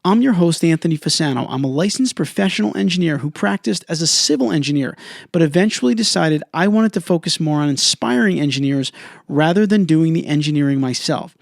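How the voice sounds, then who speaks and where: A man's voice: fairly high pitch, lively intonation, brisk, vocal fry, no echo, studio quality. A man hosting an engineering podcast, reading a polished, upbeat introduction and then interviewing a guest in an office. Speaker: podcast host.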